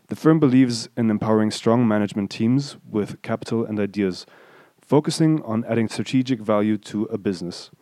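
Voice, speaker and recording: A male host reading a prepared introduction and asking interview questions in a smooth, scripted way. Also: lowish voice, fairly quick, mellow, no room sound, clean studio sound.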